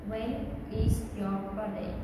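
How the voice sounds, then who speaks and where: A woman in her twenties with a Vietnamese accent, an English learner giving short, halting answers in simple sentences. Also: fairly high pitch. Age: 20s. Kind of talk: practising simple questions and answers. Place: room with wooden table and glass door. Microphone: camera across the table. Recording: very echoey, noisy, distant microphone.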